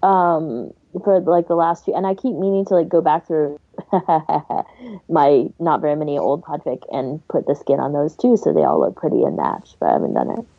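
A woman's voice: low voice, lively intonation, normal speed, creaky, husky, no echo. A woman co-hosting a podcast in casual conversation, on a slightly dull microphone. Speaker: podcast co-host. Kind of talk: casual panel chat.

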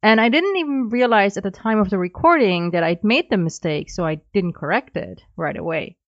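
A woman's voice: mid-range voice, expressive, fairly quick, no echo, dull audio. A woman who is a non-native English speaker, giving informal recorded listener feedback. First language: not English. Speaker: listener sending in audio feedback.

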